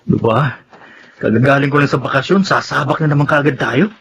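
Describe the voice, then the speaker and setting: An actor playing a brash, joking soldier with a cheeky, animated manner and a Filipino accent in a radio drama. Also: male voice, mid-range voice, fairly quick, a little hiss or hum, natural voice. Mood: relaxed, resigned. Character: brash, joking soldier buddy, ten years in service.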